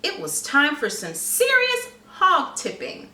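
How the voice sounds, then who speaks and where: A woman reading a story aloud to young children with dramatic, deliberate phrasing and questions to the listeners. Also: sits high, huge pitch swings, sharp tone. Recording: echoey room, distant mic, faint noise.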